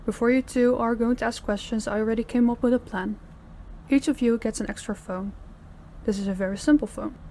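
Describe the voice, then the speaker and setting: A woman's voice: a little high, steady tone, brisk, warm tone, a little hiss or hum, lightly acted. A woman playing a stern crime boss in an audio roleplay, speaking in a commanding, businesslike way. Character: stern female crime boss running her department heads. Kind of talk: scripted audio roleplay acting.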